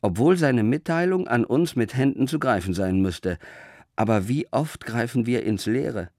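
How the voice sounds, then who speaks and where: A man preaching in a scripted, measured and reflective way, with rhetorical questions and deliberate pauses. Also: medium pitch, animated, a little mellow, no echo, clean studio sound. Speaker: preacher hosting a religious radio talk. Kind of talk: scripted sermon narration.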